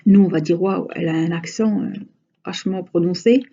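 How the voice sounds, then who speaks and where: A woman's voice: lowish voice, brisk, warm tone, lo-fi sound, a little hiss or hum. A woman with a regional Franche-Comté accent chatting casually to her viewers while crafting, rambling with many hesitations. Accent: Franche-Comté French. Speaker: crafting vlogger.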